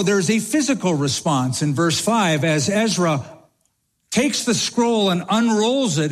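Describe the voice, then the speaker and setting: Male pastor, slow deliberate preaching, slightly high voice, emphatic repetition, long reverb of a big church. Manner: emphatic, repeats key phrases. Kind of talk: expository sermon. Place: church sanctuary.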